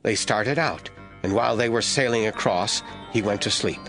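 A man narrating a Bible audio drama as scripted, expressive storytelling. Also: on the low side, moderate pace, loud music behind the voice.

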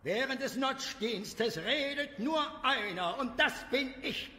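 A man's voice: very high voice, animated, takes it slowly, sharp tone, full character voice. A man playing a pompous, wrathful village preacher who declaims his words. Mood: indignant. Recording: reverberant church-like hall, faint music.

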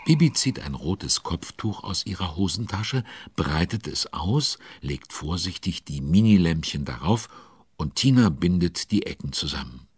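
A man's voice: low-pitched, big pitch swings, unhurried, a little hiss or hum. A man narrating a children's radio play as a chatty, avuncular storyteller who comments on events with concern. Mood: involved, concerned.

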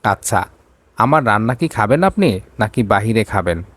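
A man's voice: fairly low pitch, very animated, moderate pace, fairly bright tone, no echo. A man voicing an anxious, submissive young woman who speaks politely in an audio romance story. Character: anxious, dutiful young woman devoted to her brother.

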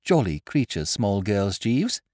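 A man voicing a breezy, chummy young gentleman of leisure who frets easily. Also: lowish voice, very animated, measured pace, dry recording, clean studio sound. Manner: breezy, chummy upper-class banter.

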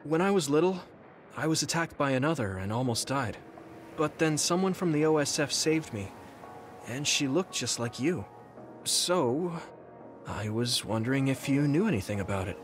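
An actor playing an earnest, polite, slightly awkward young soldier in an anime-style video game, speaking hesitantly. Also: male voice, mid-range voice, animated, normal speed, soft background music, natural voice.

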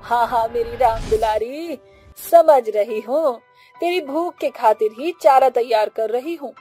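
Woman playing a high-voiced hardworking village woman. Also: measured pace, warm tone, slightly rough, soft background music. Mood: affectionate. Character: hardworking village woman, affectionate with her cow. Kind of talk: cartoon dialogue.

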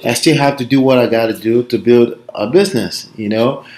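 Nigerian man in his 30s, mid-pitched, upbeat coaching vlog, recorded on a webcam in a small echoey room at home. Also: a little fast. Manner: energetic, emphatic, conversational with frequent tag questions. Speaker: online business coach. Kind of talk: motivational vlog talk. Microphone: laptop webcam mic. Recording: roomy echo of a small room.

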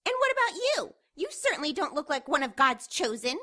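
An actress as a frightened, defiant young woman in a radio play. Her voice is high and forceful, swinging wildly in pitch. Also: very animated, brisk, heavily acted. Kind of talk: radio drama acting.

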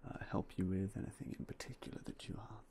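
A young man whispering in a polite, unhurried way as a game store clerk in an ASMR roleplay, close to a binaural mic. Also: low-pitched, steady tone, gravelly, slight background noise. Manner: soft, polite, unhurried shopkeeper talk with fillers. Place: indoors in front of a game store backdrop. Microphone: binaural mic close to the face.

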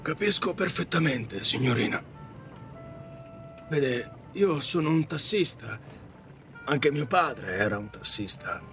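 Casting: male actor as weary cabbie, regretful dreamer, polite and hesitant, slightly high voice, audio drama with music behind. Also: animated, slow pace, pauses often, pushed and bright, full character voice. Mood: resigned, reflective. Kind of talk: Italian audio drama acting.

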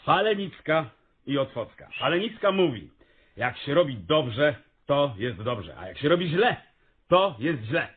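A man playing a simple, bashful railway trackman in a radio comedy, speaking haltingly and repeating himself. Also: a little high, very animated, phone-quality sound, a little hiss or hum. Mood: earnest, halting. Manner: halting, repetitive, simple-minded. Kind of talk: radio comedy drama acting.

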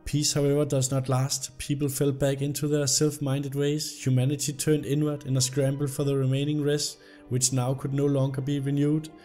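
A young man, slightly hoarse from illness, commenting on a game and reading its story text aloud. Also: medium pitch, normal speed, hardly any pauses, soft background music. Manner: reads the story text aloud in an even narrating tone. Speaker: gameplay video host. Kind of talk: casual gameplay commentary.

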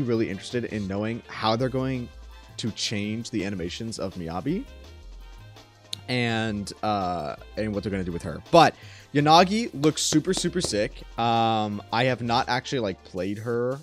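A young man streamer giving casual, slangy, enthusiastic reaction commentary into a close desk microphone. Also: mid-range voice. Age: young adult. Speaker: streamer reacting to game trailers. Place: small room at home.

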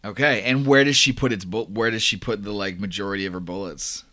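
A man's voice: lowish voice, steady tone, fairly quick, sharp tone, no room sound, studio quality. A man improvising horror narration as a tabletop game master, casual, emphatic and swearing freely. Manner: casual, profanity-laden, emphatic.

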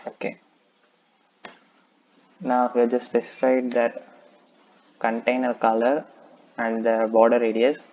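A man's voice: mid-range voice, flat delivery, unhurried, long pauses, darkish tone, dull audio, slight background noise. A man talking through a coding tutorial, explaining step by step and thinking aloud with frequent "okay". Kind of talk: screen-recorded coding tutorial.

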